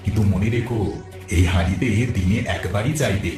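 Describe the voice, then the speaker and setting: A man voicing a gentle, grateful magical whale in a cartoon, speaking calm reassurances. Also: fairly low pitch, brisk, lightly acted. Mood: gentle, reassuring. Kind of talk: cartoon voice acting. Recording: echoing, distant, music behind.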